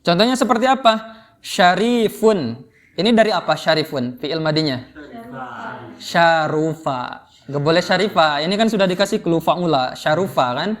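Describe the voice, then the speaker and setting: A man's voice: a little high, moderate pace, a bit bright. A man with an Indonesian accent teaching Arabic grammar informally, explaining and prompting students with questions. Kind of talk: interactive lesson, explaining and quizzing students.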